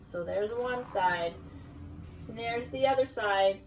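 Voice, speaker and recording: A woman reading a picture book aloud expressively, pausing to explain and ask children questions. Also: on the high side, far from the mic, rough recording. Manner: animated, pauses to explain and question. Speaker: teacher reading at school story time. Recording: voice some way from the mic.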